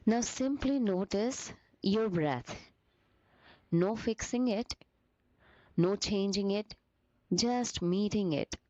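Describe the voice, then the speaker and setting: A woman leading a guided meditation as a teacher, with gentle, soothing, deliberate phrasing broken by short pauses. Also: mid-range voice, no room sound, muffled recording.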